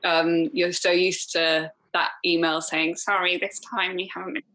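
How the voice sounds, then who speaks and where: A woman from Wales, chatting as a podcast guest, full of fillers and quick laughs. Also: lowish voice, little pitch movement, sharp tone. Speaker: film director guest. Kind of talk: casual podcast chat.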